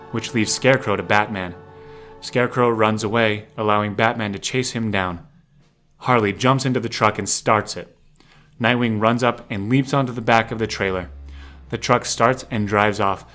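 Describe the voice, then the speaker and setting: Male narrator for audio drama, low register, scripted scene description over a light music bed. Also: steady tone, normal speed, fairly bright tone.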